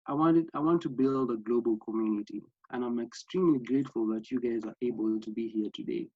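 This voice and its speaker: A man's voice: mid-range voice, a little fast, warm tone, slightly rough, dry recording. Young kenyan guy giving an online workshop, earnest, dry close sound, kinda dull audio. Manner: earnest, with frequent fillers and restarts. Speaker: workshop presenter. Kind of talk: online masterclass presentation. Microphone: video call.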